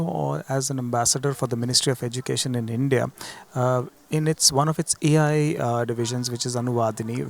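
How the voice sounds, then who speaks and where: A man's voice: mid-range voice, creaky. A man introducing himself on a conference panel in a businesslike way, with some fillers. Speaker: panel speaker, business chamber president.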